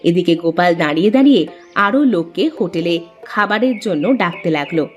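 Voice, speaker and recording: A woman's voice: on the low side, lively intonation, a little fast. Female story narrator, scripted folk-tale delivery, music bed underneath.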